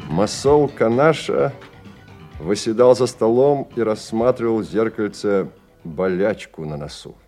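A man's voice: lowish voice, a little slow, warm tone, faint music behind. A man narrating a Russian radio play as a scripted literary story.

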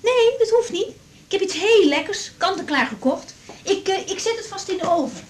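A woman's voice: very high voice, very animated, normal speed. An actress in a radio drama playing a young woman doctor, hurt and bluntly confrontational, over a noisy recording. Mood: casual, affectionate. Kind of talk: naturalistic 1980s radio drama acting.

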